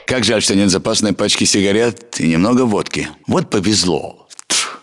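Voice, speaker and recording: A man's voice: fairly low pitch, moderate pace, a bit bright, natural voice. A man playing a Russian soldier guarding a base in a military video game. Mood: teasing, chatty.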